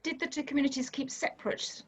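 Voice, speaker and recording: A woman hosting a conversation, sharing her own experience reflectively and gently guiding her guests. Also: high-pitched, steady tone, fast talker, a little hiss or hum. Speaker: host. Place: church recording.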